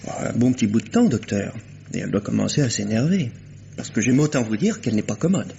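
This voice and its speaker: A gossipy caretaker rambles folksily with hesitant fillers. His voice sits in an ordinary middle pitch. The old radio recording is dull, with a faint hiss. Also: lively intonation, quick pace, creaky, warm tone. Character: chatty, fussy male doctor's caretaker, gossipy. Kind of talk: 1950s French radio drama acting.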